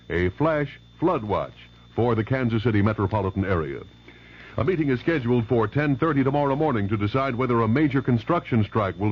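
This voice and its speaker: A man reading local news and weather on the radio in a brisk, even newsreading style. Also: medium pitch, breathy edge. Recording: dull old radio broadcast.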